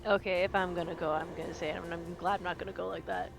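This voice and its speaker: A woman's voice: fairly low pitch, level tone, fast talker, gravelly, over music. A woman playing in a tabletop roleplaying game, talking casually in character.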